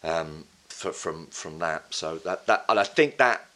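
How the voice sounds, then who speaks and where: A middle-aged man from England talks in a loose, halting way, full of restarts, fillers and the odd casual swear. His voice sits very low. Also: lively intonation, sharp tone. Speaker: interview guest. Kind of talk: casual conversational interview.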